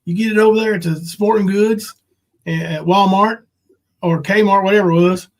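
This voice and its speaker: Man in his fifties, clearly high voice, podcast host, folksy banter, casual old-times chat. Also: fairly even intonation, moderate pace. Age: 50s. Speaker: podcast host, former pro wrestler.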